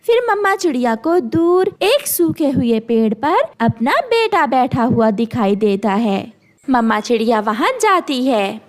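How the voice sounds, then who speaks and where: A woman narrates a children's cartoon story from a script. The voice is very high, and the intonation moves up and down a great deal. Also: big pitch swings, measured pace.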